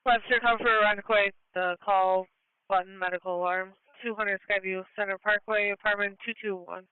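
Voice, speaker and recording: Clear-voiced woman on tinny ambulance radio. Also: mid-range voice, fairly even intonation, normal speed, no room sound. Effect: two-way radio transmission. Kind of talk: terse radio traffic. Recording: tinny, phone-like scanner radio feed.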